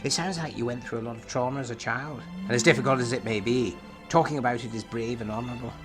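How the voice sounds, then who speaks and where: Male actor as enigmatic therapist, medium-pitched man, probing, analytical, formal, measured delivery, audio drama, clear music bed. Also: steady tone, lightly acted. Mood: sympathetic. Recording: music under the voice.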